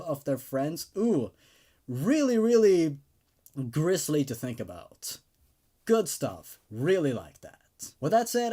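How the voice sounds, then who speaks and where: Male narrator, horror story host, highly animated intonation, casual commentary and storytelling, mid-pitched voice. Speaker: host and reader of an online horror story show.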